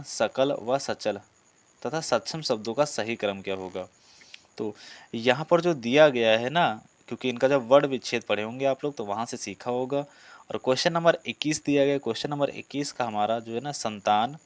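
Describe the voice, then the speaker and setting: A man teaching an exam-prep class, reading out questions and explaining answers in an instructional, repetitive way, addressing students directly. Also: mid-range voice. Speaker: Hindi grammar teacher for police exam students.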